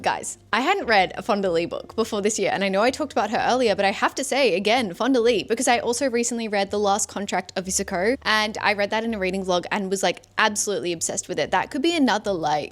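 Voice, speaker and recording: A woman speaks in a medium-pitched voice, as if chatting to friends on her vlog. Her delivery is informal and effusive. She leans hard on words for emphasis as she gushes. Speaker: book vlogger and podcaster. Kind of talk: casual chatty book review to camera.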